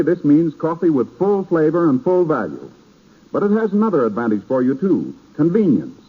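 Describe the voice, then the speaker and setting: Swooping, tinny 1930s American radio pitchman. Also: husky. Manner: emphatic, polished salesman delivery. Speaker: radio announcer. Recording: tinny band-limited 1930s radio broadcast.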